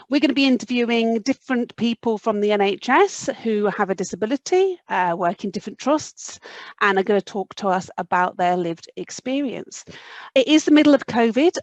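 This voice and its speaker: A woman's voice: mid-range voice, no room sound. A woman, hosting a conversational podcast interview. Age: 40s.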